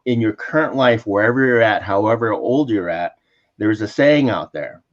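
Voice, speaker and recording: A man's voice: on the low side, highs cut off. A man co-hosting a casual remote chat who laughs often and repeats words as he searches for them. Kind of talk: casual podcast chat over a call. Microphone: remote call mic.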